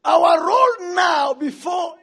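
A middle-aged man preaching emphatically into a handheld mic on a conference hall stage, pausing for an interpreter and calling for responses. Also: extremely high pitch, lively intonation. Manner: emphatic, rising to shouts, calls for responses. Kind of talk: shouted sermon with live interpretation. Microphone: handheld microphone.

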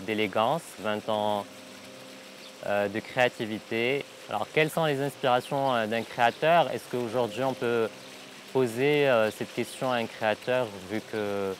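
A Moroccan fashion designer in his thirties, talking to camera in an interview outdoors by a pool, pausing often with drawn-out fillers. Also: lowish voice, background noise. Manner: hesitant, many drawn-out "euh" fillers. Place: outdoor hotel garden by a pool.

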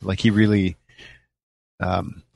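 A man's voice: deep voice, little pitch movement, slow, gravelly, a little mellow, no echo. A man chatting casually as the co-host of a podcast. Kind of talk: casual chat.

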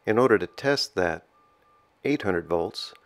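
A man giving a measured, halting voice-over narration as a hobbyist explaining his own project. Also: low-pitched, expressive. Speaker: amateur radio hobbyist narrating own restoration.

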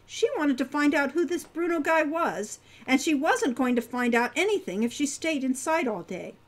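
An older woman, the book's author, narrating her novel in a gentle, expressive storytelling manner at home. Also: sits high, moderate pace. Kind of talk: audiobook narration.

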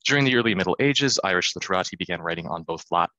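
A man in his thirties giving an academic conference talk over a video call, quick and self-deprecating with many fillers. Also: low-pitched, lively intonation, pushed and bright, no echo. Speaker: conference speaker. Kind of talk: academic presentation.

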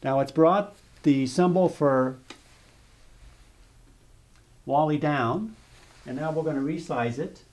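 A man narrating a step-by-step software tutorial over screen footage, explaining calmly with small restarts. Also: a little high, slow, pauses often. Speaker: software demonstrator.

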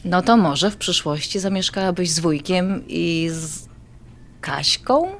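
A woman's voice: fairly low pitch, a little slow, slight background noise. A woman playing an affectionate adult granddaughter in an audio drama, talking in a coaxing, familiar way. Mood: gently challenging.

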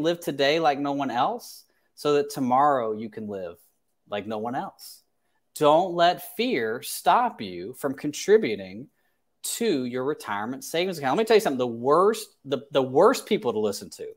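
Man, thirties, slightly high voice, financial advice livestream, emphatic delivery, headset mic in a home office. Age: 30s. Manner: emphatic, repeats phrases for stress. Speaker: financial advisor hosting a livestream. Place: small indoor home office.

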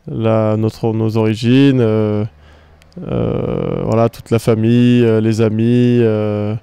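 A young man with a gravelly, dark voice answers questions outdoors, close to a handheld mic. He speaks in a flat, even way, pausing often on fillers. The sound is dry and smooth. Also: mid-range voice, no room sound. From France. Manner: hesitant, many fillers, calm. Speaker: footballer. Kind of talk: post-match style interview. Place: outdoors among trees. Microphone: handheld microphone held by interviewer.

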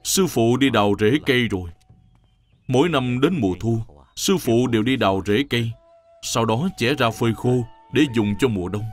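Guy voicing earnest monk student in a buddhist cartoon, normal male pitch, soft music behind. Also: moderate pace, close to an everyday voice. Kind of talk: animated Buddhist drama dub.

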